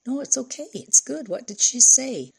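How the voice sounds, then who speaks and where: A woman's voice: sits high, expressive, moderate pace, vocal fry, a little mellow, slightly rough, pitched above the performer's own voice, exaggerated voice. A woman voicing an anxious, affectionate mother of a teenager in an audiobook, earnest and coaxing. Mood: gentle, coaxing.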